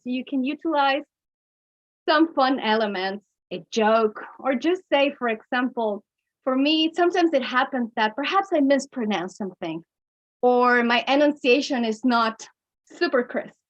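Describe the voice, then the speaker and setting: A woman with a Mexican accent and a high voice leads a storytelling webinar as a coach. She talks expressively, chuckling between points and shifting her pace. The audio is slightly dull and lo-fi, like a video call. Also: animated, a little slow. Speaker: keynote speaker and coach.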